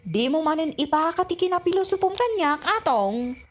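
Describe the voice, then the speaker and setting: High-voiced, nagging Ilocano wife. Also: female voice, animated, moderate pace. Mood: insistent. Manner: scolding, insistent. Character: nagging, earnest wife and mother. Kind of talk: comedy radio drama acting.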